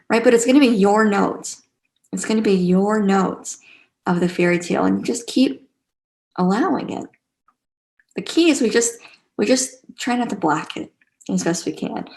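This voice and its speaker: A woman with a mid-pitched voice and plenty of vocal fry leads a live online class. She talks casually and gently, encouraging the group and circling back over phrases to stress them. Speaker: teacher leading an online spiritual class.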